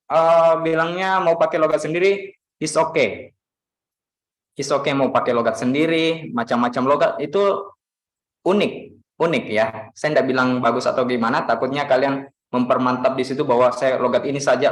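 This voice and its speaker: A man from Makassar, Indonesia, teaching an online English lesson in a relaxed way, with lots of fillers and repeated phrases. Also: mid-range voice, roomy sound, low-quality recording.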